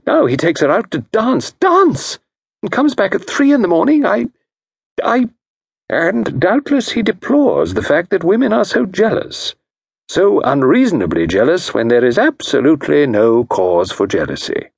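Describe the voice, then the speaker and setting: A man's voice: high voice, very animated, vocal fry, breathy edge, no echo, clean studio sound, voice pushed up, heavily acted. A man voicing an aggrieved middle-aged wife, bursting out with jealous complaints. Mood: indignant. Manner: rushed, complaining outbursts.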